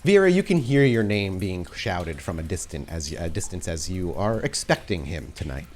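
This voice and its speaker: A man narrating a tabletop roleplaying game as game master, setting scenes in a measured, atmospheric way. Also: sits low, sing-song.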